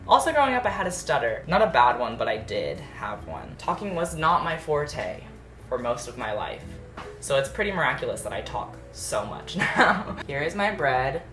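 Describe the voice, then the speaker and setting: A man's voice: mid-range voice, sing-song, normal speed, roomy sound, music in the background. A young man in his late teens, a native Japanese speaker, chatting casually while baking and articulating his words carefully. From United States. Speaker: YouTuber. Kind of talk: casual baking vlog chat. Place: home kitchen.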